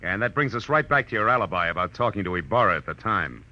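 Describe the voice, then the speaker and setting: An actor playing a tough, wry private eye in a 1940s radio crime drama, speaking in clipped slang on an old recording. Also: male voice, on the low side, lively intonation, quick pace, highs cut off, lightly acted. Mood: hard, accusing. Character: hard-boiled male private eye, wry, tough and insistent. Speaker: detective and first-person narrator.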